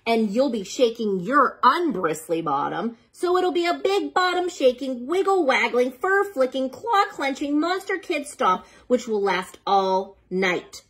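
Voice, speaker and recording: A woman reading picture books aloud to young children, playful and dramatic, pausing for chatty asides and questions to the listener. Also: sits high, sing-song, fairly bright tone, slightly rough.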